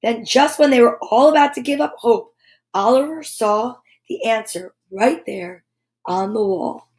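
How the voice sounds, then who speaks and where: Female children's storyteller, high voice, unhurried read-aloud with playful sound effects. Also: animated, a little mellow. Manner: playful, drawn-out sound effects and repeated words. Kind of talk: animated picture-book read-aloud for children.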